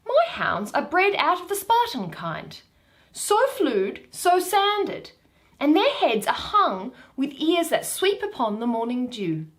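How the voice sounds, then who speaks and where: A woman's voice: high voice, very animated, takes it slowly, exaggerated voice. A woman voicing a proud, commanding male duke in declamatory Shakespearean verse. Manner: declamatory, emphatic.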